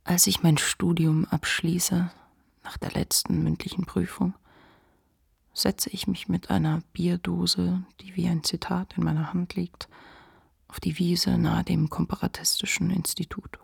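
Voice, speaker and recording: A weary depressed writer's inner voice, raspy and creaky, reflective radio drama monologue. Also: female voice, fairly low pitch, little pitch movement, a little slow, darkish tone, no room sound, clean studio sound, full character voice. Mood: hushed reflection. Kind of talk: literary monologue in a radio play.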